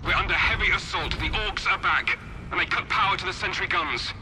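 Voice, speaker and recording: A man's voice: high voice, brisk, natural voice. Urgent male outpost officer acted by a man, noisy. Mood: urgent, strained. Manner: urgent military reporting. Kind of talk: dramatic video game acting. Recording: clear battle noise over the voice.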